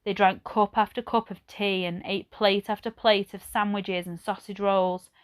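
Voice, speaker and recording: Clear-voiced woman reading a storybook. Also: medium pitch, steady tone, moderate pace. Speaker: teacher reading aloud. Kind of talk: children's storybook narration.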